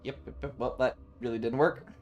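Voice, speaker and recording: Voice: mid-range voice, steady tone, brisk, soft background music. A streamer casually commenting on a game and chatting with viewers in a rambling, playful, profane manner. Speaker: live streamer.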